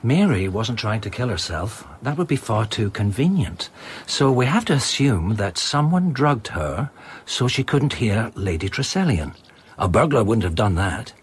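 An actor playing an observant, doubting amateur sleuth in a radio play, reasoning in a measured way. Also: male voice, fairly low pitch, sing-song, slightly rough, exaggerated voice. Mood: measured, sceptical. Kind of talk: dramatic radio play acting.

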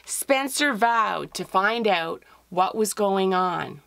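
A middle-aged woman reads a children's picture book aloud in an expressive, deliberate storytelling manner. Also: mid-range voice, gravelly, clean tone, no room sound. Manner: expressive, deliberate, with drawn-out phrases. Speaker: author reading her picture book aloud.

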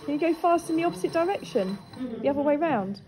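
A woman ghost hunter, coaxing a spirit in a dark church at night and repeating her questions. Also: sits high, lively intonation, quick pace, crowd noise, poor audio. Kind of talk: calling out to a spirit.

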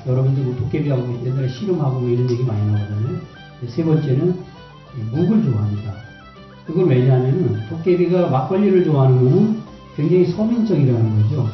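A man's voice: medium pitch, animated, brisk, faint music behind. A man giving an informal explanatory talk to an audience, as a speaker on folklore. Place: echoey hall at a book concert. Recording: dull, very echoey hall.

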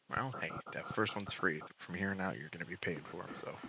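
A young man giving relaxed, joking commentary while playing a game, with a soft, soothing voice and mumbled asides. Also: low-pitched, a little fast, slight background noise, rough recording. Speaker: gaming streamer. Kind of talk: casual gameplay commentary.

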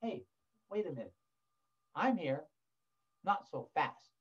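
A man voicing a tiny, loyal mouse in a children's story, soft-spoken and plucky. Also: fairly high pitch, big pitch swings, slow pace, breathy edge, muffled recording, full character voice. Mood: quietly confident.

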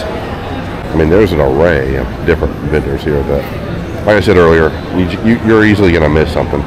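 A man giving a chatty, enthusiastic walkthrough of a convention hall, filmed on a handheld camera. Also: sits low. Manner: enthusiastic, chatty, plenty of fillers. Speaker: vlogger touring a convention. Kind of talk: casual walkthrough commentary. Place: large indoor convention hall. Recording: crowded hall background noise. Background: crowd chatter.

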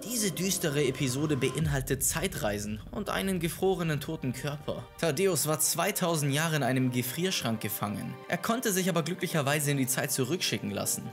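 A man's voice: fairly high pitch, lively intonation, moderate pace, hardly any pauses. A man, narrating a scripted countdown in a casual YouTube host style. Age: 20s. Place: small room at home. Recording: music under the voice. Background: background music.

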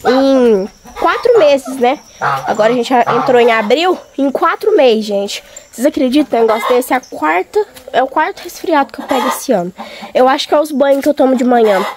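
A young woman, hoarse from a cold, chatting casually and directly to her viewers while walking around a farm outdoors. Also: high-pitched, lively intonation, brisk, noisy background. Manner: chatty, talking straight to viewers. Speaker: vlogger. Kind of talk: casual vlog chat.

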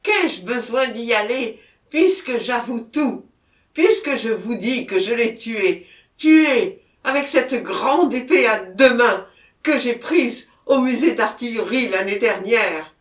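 A man's voice: very high voice, lively intonation, takes it slowly, fairly bright tone, echoey room, pitched above the performer's own voice, full character voice. A man voicing a terrified, anguished male murderer in an audiobook, pleading and repeating himself in exclamations. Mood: frantic refusal.

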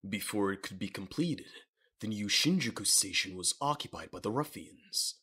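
Male narrator for manga audio drama, low register, rough-edged husky tone, dramatic scripted read. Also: lively intonation, moderate pace, bright, forceful tone.

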